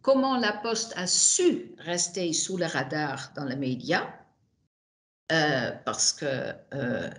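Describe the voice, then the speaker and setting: A woman whose first language is not French, giving testimony on a video call, halting with many restarts and fillers. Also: sits low, animated. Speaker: witness, widow of a manager. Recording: echoey room on a video call.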